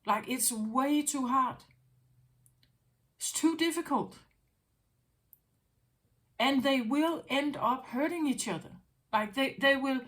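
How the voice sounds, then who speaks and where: Slow, musing woman with a higher voice. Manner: musing, repeats phrases, asks "right?". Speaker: intuitive tarot reader. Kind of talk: unscripted spiritual monologue.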